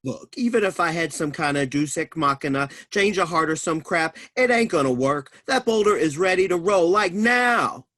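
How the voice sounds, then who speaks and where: A man playing a brooding, vengeful tough guy with gruff, slangy threats in a comic stage reading. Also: on the high side, lively intonation, moderate pace, few pauses, pushed and bright. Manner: gruff slangy threats, folksy wiseguy talk.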